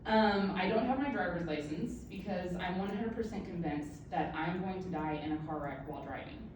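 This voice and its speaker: A woman in her thirties speaks to a room full of people with a slightly low voice and a candid, self-mocking sense of humor. She sounds far from the mic, and her voice bounces around a very echoey room. Steady background noise sits under her words. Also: normal speed. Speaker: author giving a speech. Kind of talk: personal speech to an audience. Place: event room with an audience.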